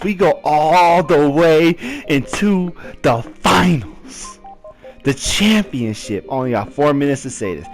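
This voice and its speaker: A young man telling a personal football story in a casual, animated way, full of slang. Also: on the high side. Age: young adult.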